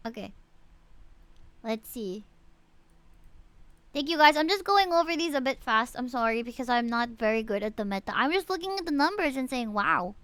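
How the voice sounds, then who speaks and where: A woman live-streaming a game, chatting casually with viewers and reading out stats in an animated way, with frequent exclamations and asides. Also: high-pitched, moderate pace, pauses often, smooth, no echo. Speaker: live streamer reviewing players' game characters.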